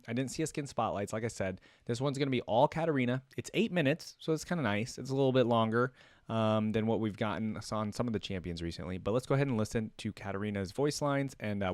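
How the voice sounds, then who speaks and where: A man's voice: fairly low pitch, lively intonation, brisk, dry recording. A man giving casual reaction commentary as host, easygoing and thinking aloud as he goes. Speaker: host of a fan reaction video.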